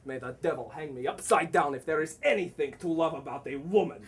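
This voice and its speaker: A man's voice: on the high side, fairly quick, a little hiss or hum, lightly acted. A male actor playing a blustering, mocking, hot-tempered boor in a comic stage play, declaiming with theatrical sarcasm. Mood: scornful. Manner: declamatory, sarcastic, exaggerated, swinging from bellows to murmurs. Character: blustering, boorish, misogynist middle-aged man, mocking and hot-tempered. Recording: distant mic on a theatre stage.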